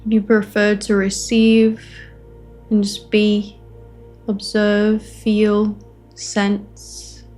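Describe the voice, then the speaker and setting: A woman facilitating a guided meditation, offering gentle invitations in short phrases with long pauses between them. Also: medium pitch, completely flat delivery, soft background music. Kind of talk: guided meditation, spoken softly to listeners.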